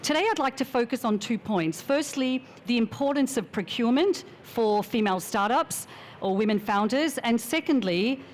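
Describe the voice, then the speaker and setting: A woman giving a measured, formal introduction as a panelist at a finance conference. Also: fairly high pitch, expressive, a little hiss or hum. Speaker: panelist, strategic advisor.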